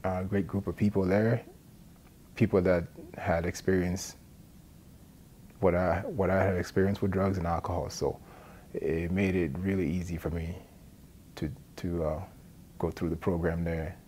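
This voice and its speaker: A middle-aged man from Liberia gives a personal testimony in a halting, plain-spoken way, often adding "you know". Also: deep voice, little pitch movement, a little mellow. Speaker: addiction recovery centre support worker. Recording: noticeable background noise.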